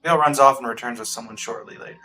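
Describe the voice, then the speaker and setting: A man's voice: medium pitch, fast talker, sharp tone. Guy gaming n commenting casually, short lines, mid voice, game music underneath. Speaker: gamer playing through a story quest.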